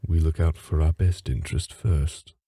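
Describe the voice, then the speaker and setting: A man's voice: very deep voice, fairly even intonation, moderate pace, creaky, dark tone, slightly rough, no room sound, clean studio sound, full character voice. An actor playing a haughty, manipulative fae father with a cold, formal, condescending way of talking in a fantasy audio drama. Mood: cold menace. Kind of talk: fantasy audio roleplay acting.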